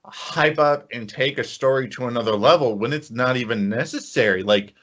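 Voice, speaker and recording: A man's voice: medium pitch, normal speed, a bit bright, dull audio. A man co-hosting a podcast in casual chat, talking emphatically and rambling as he repeats phrases. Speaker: podcast co-host.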